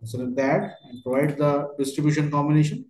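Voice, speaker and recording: A man working through a step-by-step software tutorial as a trainer, speaking in halting, fragmented phrases. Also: a little high, little pitch movement. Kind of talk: step-by-step screen tutorial narration. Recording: roomy, echoey voice recording.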